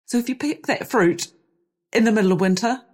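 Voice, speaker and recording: A woman hosting a podcast, hoarse from losing her voice, explaining things in a lively, chatty way. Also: on the high side, fairly quick. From New Zealand. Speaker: podcast host. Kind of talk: solo podcast chat.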